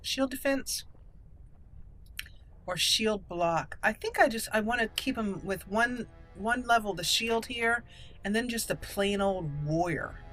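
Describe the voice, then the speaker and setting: A woman's voice: mid-range voice, a little slow, fairly bright tone. A woman hosting a let's-play video, commenting casually and talking to herself and the game characters as she plays. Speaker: gaming video host. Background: game music.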